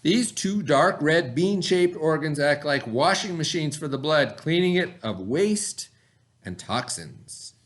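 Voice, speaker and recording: A man's voice: a little high, lively intonation, slow. A middle-aged man reading a lesson aloud to children as their teacher, with simple, instructive explanations.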